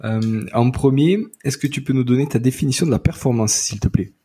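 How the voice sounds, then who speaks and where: A man in his thirties hosts a sports podcast. His voice is strongly husky and breathy, with an ordinary middle pitch, and he reads his welcome smoothly before moving to brief, direct questions. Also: fairly quick, mellow. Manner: reads intro smoothly, asks short questions. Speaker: podcast host. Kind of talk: scripted podcast intro and interview questions.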